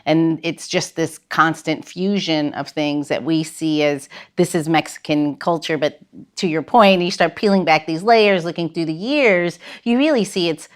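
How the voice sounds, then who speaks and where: Woman with a low voice, casual conversational podcast co-host. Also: moderate pace. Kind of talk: casual chat.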